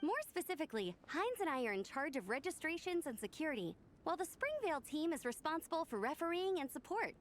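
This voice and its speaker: A woman's voice: high-pitched, sing-song, fast talker, hardly any pauses, soft background music. A woman voicing a friendly, upbeat young female scout in a video game, earnest and chipper. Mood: helpful, informative.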